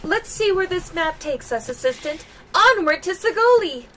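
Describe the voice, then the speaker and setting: A woman's voice: very high voice, lively intonation, moderate pace, bright, forceful tone, a little hiss or hum, exaggerated voice. A woman voicing an eager, naive young female assistant in a game, bubbly and earnest. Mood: cheerful.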